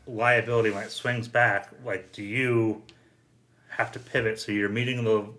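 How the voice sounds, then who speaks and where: A man with a fairly low but bright, forceful voice hosts a podcast. He talks casually, in the conversational style of a round-table discussion. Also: little pitch movement, moderate pace. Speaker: podcast host.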